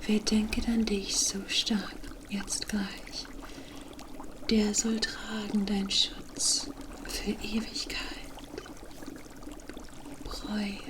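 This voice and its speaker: A woman voicing a mysterious, wry forest witch in a hushed, deliberate ASMR fantasy roleplay, with cryptic storybook phrasing. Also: mid-range voice, level tone. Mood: incanting, hushed. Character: mysterious forest witch, wry, knowing, offering protection for favors.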